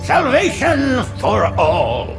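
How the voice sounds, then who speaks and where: An actor playing a fervent, sanctimonious old alien prophet in a video game, preaching in ornate, theatrical phrases. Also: male voice, very high-pitched, huge pitch swings, slow pace, creaky, over loud music, full character voice. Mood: reverent, fervent. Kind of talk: video game cutscene acting. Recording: orchestral game score behind.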